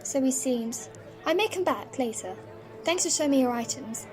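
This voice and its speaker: A woman's voice: sits high, animated, normal speed. A woman playing a curious, earnest young granddaughter, polite but outspoken, in a fan audio drama. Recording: music underneath.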